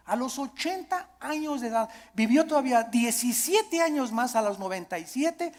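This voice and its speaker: A man's voice: very high voice, lively intonation, normal speed. Mexican guy preaching a sermon, emphatic, repeats himself and asks the crowd rhetorical questions, big echoey hall reverb.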